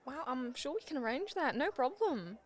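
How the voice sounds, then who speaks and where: Woman playing devoted niece, feisty, high-pitched, community radio play. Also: very animated, normal speed. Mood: teasing.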